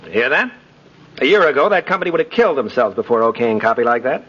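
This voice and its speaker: An actor playing an idealistic, persuasive lawyer, earnest and emphatic, in 1950s radio drama style. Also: male voice, medium pitch, animated, fast, fairly bright tone, slightly rough. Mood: enthusiastic. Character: idealistic, persuasive young male defense lawyer. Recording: noisy, dull 1950s radio recording.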